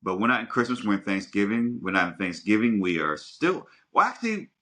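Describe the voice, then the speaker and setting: A man with an American accent speaks as a casual podcast host. His voice is deep yet bright and punchy. He talks in a loose, meandering way, working out his thoughts aloud. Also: very animated, fairly quick.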